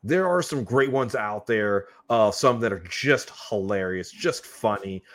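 A man's voice: fairly low pitch, lively intonation, measured pace, fairly bright tone. A man co-hosting a casual live chat show, earnestly explaining his points and talking to the audience. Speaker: co-host of horror review show.

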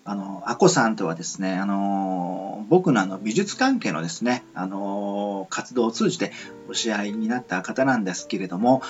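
A man's voice: fairly low pitch, expressive, moderate pace, hardly any pauses. A man hosting a casual radio talk show in a polite, rambling, hesitant way. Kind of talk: casual interview and sign-off chat. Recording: muffled lo-fi with faint music.

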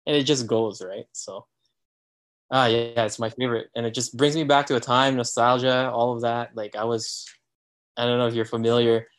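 A man in his thirties from the Philippines, chatting as a podcast guest in a relaxed, reminiscing way. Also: medium pitch, moderate pace. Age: 30s. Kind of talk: casual chat.

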